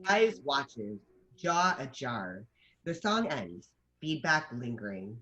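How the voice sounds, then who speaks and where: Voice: medium pitch, very animated, slow pace, sharp tone, muffled recording. A narrator of unclear sex reading screenplay stage directions as scripted narration.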